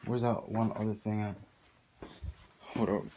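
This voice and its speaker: A man's voice: on the low side, steady tone, unhurried. A young man talking casually to his phone camera, rambling and cursing as he moves around his house and then outside. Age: young adult. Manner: rambling, mumbled asides, frequent cursing. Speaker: vlogger. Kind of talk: casual handheld vlog. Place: house indoors, then outdoors in a neighborhood. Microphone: phone held at arm's length. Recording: noisy phone recording.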